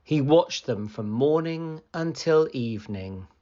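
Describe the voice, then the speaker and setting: A woman reading a picture book aloud for children in an animated, deliberate storytelling manner. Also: extremely low pitch, lo-fi sound.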